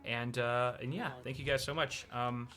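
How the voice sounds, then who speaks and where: A man's voice: medium pitch, fairly even intonation, normal speed, bright, forceful tone. A young American man hosting a casual live stream, chatting loosely with many filler words while giving advice. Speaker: streamer host.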